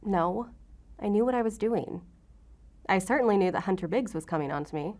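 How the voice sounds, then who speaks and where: An actress playing a guarded, defiant law-enforcement agent in an audio drama, with a sarcastic, defensive way of talking. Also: female voice, medium pitch, lively intonation, fairly quick, darkish tone, lightly acted. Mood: brazen, defensive.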